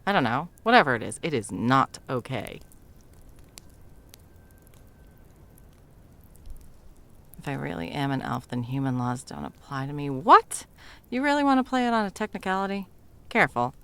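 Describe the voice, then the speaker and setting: A woman's voice: a bass voice, very animated, a little fast, sharp tone, full character voice. A woman playing a bumbling, flustered female Christmas elf in a whimsical comedic audio roleplay, evasive and sarcastic, rambling and backtracking as she goes. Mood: indignant.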